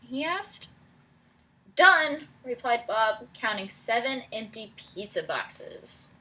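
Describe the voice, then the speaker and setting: A woman with a bright, fairly high voice reads a children's storybook aloud like a teacher. She is encouraging and often breaks off to put questions to her young listeners. There is noticeable noise in the room behind her. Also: expressive, slow, low-quality recording. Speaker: teacher reading a picture book aloud to children.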